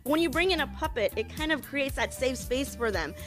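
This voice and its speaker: A woman's voice: high voice, animated, a little fast, over music. A young woman speaking with gushing enthusiasm as a puppeteer in a live interview. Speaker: puppeteer, ventriloquist and teacher. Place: small cluttered classroom. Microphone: reporter's handheld microphone.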